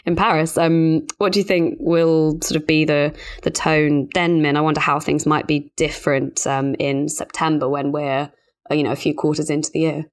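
A woman with a notably low voice and little melodic movement hosts a business podcast. She speaks conversationally but analytically, weighing points in a measured way. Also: little pitch movement. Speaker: podcast host and financial journalist.

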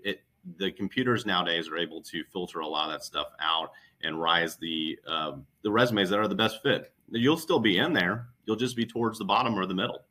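Male recruiter, very deep pitch with a bright edge, emphatic conversational panel delivery. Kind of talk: unscripted advisory panel talk.

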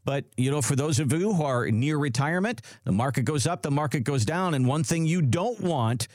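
A man's voice: on the high side, expressive, fairly quick, a little mellow, no echo, clean studio sound. A man hosting a retirement radio show, friendly and chatty, with many small fillers. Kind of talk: radio finance talk.

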